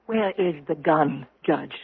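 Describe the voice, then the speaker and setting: An actress playing a grave, deliberate woman who presses an accusation, in old-time radio drama style. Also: low voice, gravelly, a little hiss or hum, heavily acted. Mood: accusing. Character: grave, accusing woman confronting a killer judge. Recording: roomy, muffled old radio recording.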